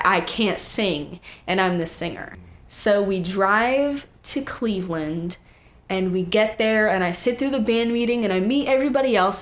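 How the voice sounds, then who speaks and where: A teenage young woman, a guest singer, telling stories in casual, animated chat full of quoted asides. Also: medium pitch.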